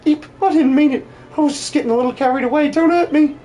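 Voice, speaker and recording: A man voicing an eager, timid young farmhand who talks in a folksy, excitable way for a game dub. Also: extremely high pitch, fast talker, faint music behind, voice pushed up. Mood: frightened. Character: eager, timid young male farmhand, easily carried away.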